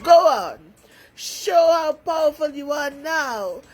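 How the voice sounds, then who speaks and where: A woman's voice: high voice, lively intonation, slow, darkish tone, voice pushed up. A woman storyteller voicing a mocking, scornful heckler from a jeering crowd with a taunting delivery.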